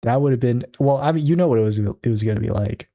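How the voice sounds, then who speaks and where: Mellow-voiced man in rambling chat. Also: mid-range voice, fast talker. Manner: rambling, laughs often, many fillers. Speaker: podcast co-host. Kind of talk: casual chat.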